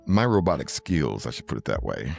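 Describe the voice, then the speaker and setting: A middle-aged podcast host with an extremely low, deep bass voice and a gravelly, fry-edged texture. He chats in an easygoing, chuckling way. Faint music sits in the background. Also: wildly swooping pitch, fairly quick. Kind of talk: casual interview chat.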